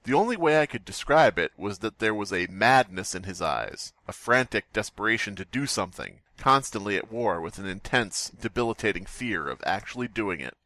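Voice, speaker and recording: Man, podcast co-host, very lively swooping intonation, mock-outraged humor, joking read-aloud, close dry sound. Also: lowish voice, a little fast, hardly any pauses, no echo. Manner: wry, mock-outraged, breaks into laughter while reading. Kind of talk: joking chat and mock-dramatic reading of online stories.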